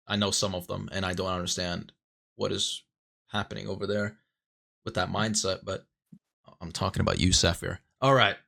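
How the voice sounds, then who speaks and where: A man's voice: low-pitched, level tone, moderate pace, creaky, pauses often, pushed and bright. A man hosting a casual online interview over a voice call, asking loose probing questions with plenty of filler words. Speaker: interviewer and host.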